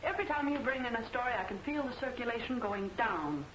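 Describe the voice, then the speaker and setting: An exasperated newsroom wisecracker played by a woman complains briskly in a high American voice, full of sarcasm. The old serial soundtrack is echoey and noisy. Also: animated, natural voice. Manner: sardonic. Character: exasperated, wisecracking female newspaper office worker. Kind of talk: 1940s film serial acting.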